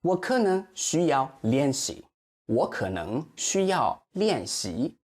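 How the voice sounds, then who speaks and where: A man with a Chinese accent, a native Chinese teacher explaining pronunciation in a lesson, carefully modeling words slowly and stretching syllables. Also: fairly high pitch, big pitch swings, darkish tone. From mainland China.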